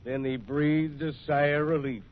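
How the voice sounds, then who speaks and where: A thin, tinny vintage radio recording carries a male storyteller. His somewhat high voice delivers scripted western narration in a folksy style. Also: steady tone, measured pace.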